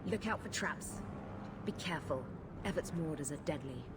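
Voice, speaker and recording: A woman's voice: sits low, expressive, normal speed, noisy background. A woman playing an embattled, dutiful guard lieutenant with a blunt soldierly manner in a video game. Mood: determined. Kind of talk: video game cutscene acting.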